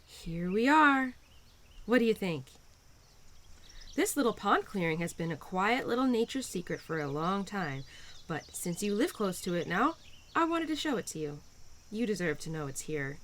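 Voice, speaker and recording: Spring spirit, female, played by a woman: playful, nurturing, teasing, lively swooping intonation, audio drama intimacy over faint birdsong and crickets. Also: medium pitch, moderate pace, slight background noise. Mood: warm, excited. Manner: bubbly, affectionate, gently teasing, expressive. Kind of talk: roleplay audio drama addressed to the listener.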